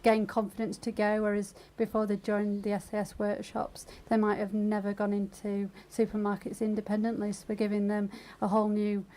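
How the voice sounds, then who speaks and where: A woman explaining things in a measured, tentative way as a guest in a radio interview. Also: medium pitch, completely flat delivery, dark tone. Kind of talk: conversational radio interview answers.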